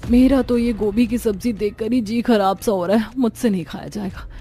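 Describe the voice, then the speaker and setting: Put-upon young cartoon wife, female performer, medium pitch, plaintive grumbler, music behind. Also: normal speed, warm tone, close to an everyday voice. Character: young put-upon daughter-in-law, complaining and squeamish.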